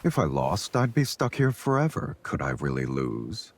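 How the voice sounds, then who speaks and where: A man voicing a confident, teasing champion fighter and lover in a romance game, with a husky voice. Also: very deep voice, big pitch swings, moderate pace, faint music behind, heavily acted. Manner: smooth-talking, teasing.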